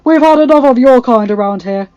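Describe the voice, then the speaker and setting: A woman's voice: high-pitched, a little fast, clear, resonant tone, dry recording, muffled recording, voice pushed up, close to an everyday voice. Woman as a stern, suspicious male guard commander. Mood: fierce, suspicious. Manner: stern, forceful.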